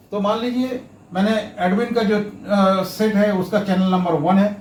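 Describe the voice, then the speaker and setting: A man giving a step-by-step tutorial, explaining haltingly and repeating himself, with English terms mixed in. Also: sits high, a little mellow. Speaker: instructor, tutorial presenter. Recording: roomy echo, faint background noise.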